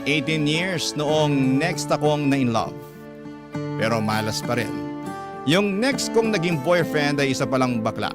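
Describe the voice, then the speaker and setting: A man's voice: a little high, expressive, a little slow. A man reading a listener's letter as a radio story host, in dramatic scripted narration. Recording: music clearly behind the voice. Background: instrumental music.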